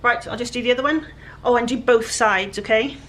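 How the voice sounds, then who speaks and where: A woman with a raspy, croaky voice that sits slightly high for her. She talks in a chatty, breathless way as she works, her sentences often fading off at the end. There is clear background noise behind her. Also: fairly quick. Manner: chatty, often trails off quietly. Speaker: furniture flipper. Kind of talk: casual how-to chat while working.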